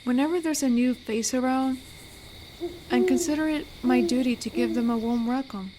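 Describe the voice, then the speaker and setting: A woman's voice: sits high, background noise, close to an everyday voice. A woman playing an amiable, teasing werewolf who protects a forest, speaking in an intimate, conversational roleplay drama. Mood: warm, welcoming. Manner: unhurried, teasing, conversational with pauses. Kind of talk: roleplay audio drama, intimate one-to-one address.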